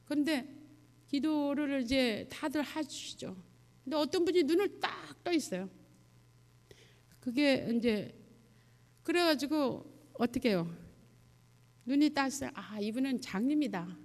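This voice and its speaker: A woman missionary giving a testimony sermon to a congregation, speaking in a conversational, halting way and putting questions to her listeners. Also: high voice, lively intonation, darkish tone. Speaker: missionary guest preacher. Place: church sanctuary, addressing a congregation. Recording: long hall reverb, church sound system.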